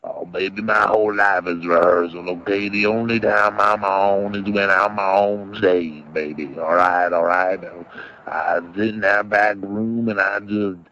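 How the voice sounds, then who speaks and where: A gruff old blues singer, very deep and slow, full of boastful swagger. His throaty drawl sits over plucked guitar in a muffled lo-fi recording. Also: steady tone, bright, forceful tone. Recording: dull lo-fi sound, music behind.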